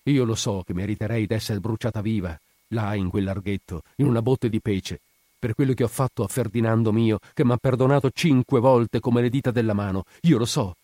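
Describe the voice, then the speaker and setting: A man's voice: medium pitch, fairly even intonation, moderate pace, mellow, dry recording, studio quality, close to an everyday voice. A man voicing an anguished, fiery married woman in a story, pleading and bitter with remorse. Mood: desperate pleading. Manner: pleading, impassioned, vehement outbursts. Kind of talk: dramatic audiobook character reading.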